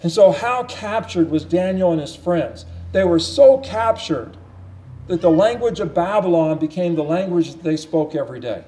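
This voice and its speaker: High-voiced, mellow male preacher. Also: expressive, normal speed, low-quality recording. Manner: earnest, repetitive emphasis, frequent restarts. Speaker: pastor. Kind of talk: sermon Bible teaching.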